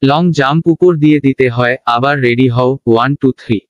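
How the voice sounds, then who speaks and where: A man's voice: mid-range voice, moderate pace, background noise, lightly acted. Casting: man as blustering cartoon animal sports coach, instructing and full of himself, muffled recording. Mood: bossy instructing. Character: boastful, bumbling male animal sports coach, secretly timid. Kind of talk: children's cartoon dialogue.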